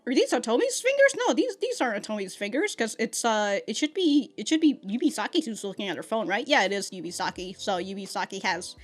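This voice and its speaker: A woman's voice: fairly high pitch, expressive, a little fast, hardly any pauses. A woman giving casual, rambling reaction commentary as a host, with a giggly, slangy way of talking and some swearing. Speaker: anime reaction host and reviewer.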